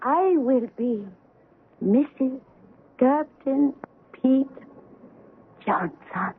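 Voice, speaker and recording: A woman playing a devoted, superstitious young island woman in a radio drama, speaking formally and deliberately. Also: high voice, lively intonation, slightly rough, exaggerated voice. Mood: tender. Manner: slow, deliberate, formal phrasing. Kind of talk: 1970s radio drama acting. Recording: tinny vintage radio with background noise.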